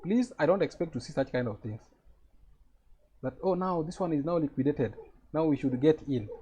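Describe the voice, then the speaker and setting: A man's voice: a little high, animated, normal speed, warm tone, husky, highs cut off. A man with a Kenyan accent teaching a live trading lesson over a video call, explaining step by step and checking that his students follow.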